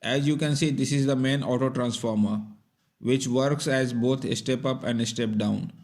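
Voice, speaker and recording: A man's voice: mid-range voice, fairly even intonation, measured pace, darkish tone. A man narrating a scripted technical tutorial in a methodical, step-by-step instructional manner. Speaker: repair tutorial presenter.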